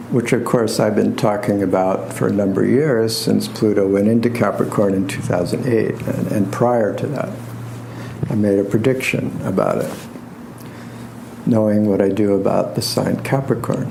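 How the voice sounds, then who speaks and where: Male lecturer, soft voice, very unhurried and halting delivery, dry self-deprecating wit, live recording with faint background noise. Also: lowish voice, vocal fry, low-quality recording. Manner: unhurried, halting with pauses and repeats; wry, self-deprecating humor. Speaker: astrologer and tarot reader giving a lecture. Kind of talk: informal spoken lecture to a live group.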